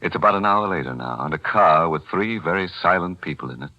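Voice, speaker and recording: A man's voice: low voice, animated, fast talker, pushed and bright. A man hosting a 1940s radio horror show with scripted, sardonic and macabre narration. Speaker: host. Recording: muffled 1940s radio recording.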